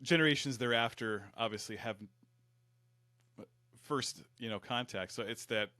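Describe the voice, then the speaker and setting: A man's voice: medium pitch, a little slow, sharp tone, no echo. A man from Michigan's Upper Peninsula, a fire service educator, talking in a folksy, rambling, self-deprecating way. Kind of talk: casual interview.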